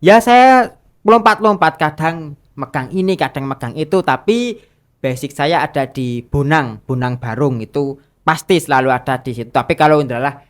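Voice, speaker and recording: A hoarse young man, a guest explaining politely and unhurriedly in a casual interview. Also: on the high side, lively intonation, normal speed. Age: young adult.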